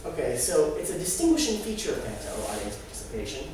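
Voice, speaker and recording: A young adult man giving a halting, explanatory student presentation live in a room. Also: fairly high pitch, huge pitch swings, a little mellow. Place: echoey indoor room. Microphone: distant microphone. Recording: very echoey room, distant mic, noisy.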